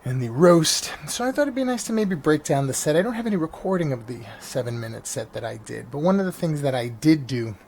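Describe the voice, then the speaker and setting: A man's voice: fairly high pitch, animated, a little fast, vocal fry, hardly any pauses, a little mellow. A man from New York, a stand-up comedian hosting his own vlog podcast, rambling casually with lots of restarts and fillers. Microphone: janky mic. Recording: faint engine noise behind. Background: engine noise.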